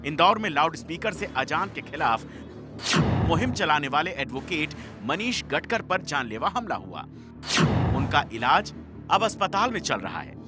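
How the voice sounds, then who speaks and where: A man's voice: on the high side, big pitch swings, a little slow, over music. A man reading a scripted news report in an emphatic, dramatic manner. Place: voice-over recording with music behind.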